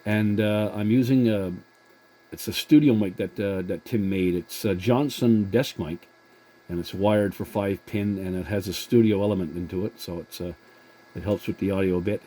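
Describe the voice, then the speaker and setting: A man chatting as a CB radio operator in a courteous, halting manner. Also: lowish voice, dark tone. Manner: courteous, halting with many hesitations. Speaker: CB radio operator and channel host. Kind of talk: casual two-way radio chat.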